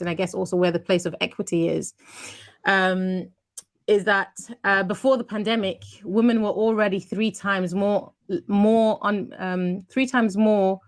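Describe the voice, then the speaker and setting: A woman hosting a video call panel and, as an author, reading a prepared passage fluently and evenly. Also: mid-range voice. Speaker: host and author.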